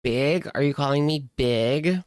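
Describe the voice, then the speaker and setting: A woman streamer reacts casually with snark and plenty of slang. Her voice is clean and smooth, set in a dry, close sound, and she drags out words to make a point. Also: rumbling low, a little mellow, no room sound. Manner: snarky, slangy, drawn-out emphasis. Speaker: reaction streamer commenting on a video.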